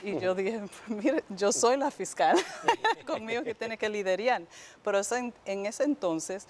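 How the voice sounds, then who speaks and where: A woman's voice: medium pitch, expressive, unhurried, a crowd in the background. A woman from New York, answering interview questions conversationally as a guest judge, searching for words and slipping in English fillers. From New York, United States.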